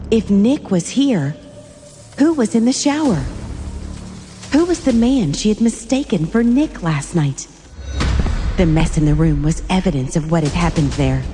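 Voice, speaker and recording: A woman reading scripted story narration with suspenseful, dramatic phrasing. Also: mid-range voice.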